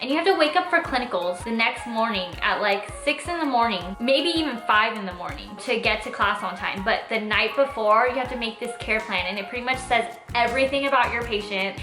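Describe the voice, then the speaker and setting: A woman's voice: a little high, lively intonation, fairly quick, few pauses. A Californian woman in her twenties chatting casually in a Q&A vlog, informal and emphatic. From Orange County, California. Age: 20s. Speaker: travel vlogger and nurse. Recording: echoey room with faint music.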